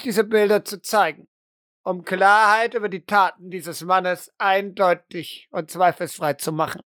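A woman voicing a stern, formal court official in an audio roleplay, speaking in a measured, commanding way. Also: mid-range voice, no echo, studio quality, natural voice.

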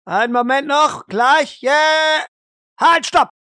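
Military commander, played by a man: clipped, urgent orders, raised pitch, tense sharp tone, radio-play acting. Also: slow pace, no echo, studio quality, heavily acted. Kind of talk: role-play audio drama.

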